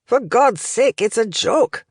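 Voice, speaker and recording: A woman's voice: fairly high pitch, expressive, slow pace, no echo, clean studio sound, voice pushed up, exaggerated voice. A woman voicing an older male professor, opinionated and instructive, in an audiobook. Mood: wry, correcting. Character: older male Romanian language professor, opinionated, smoking, encouraging.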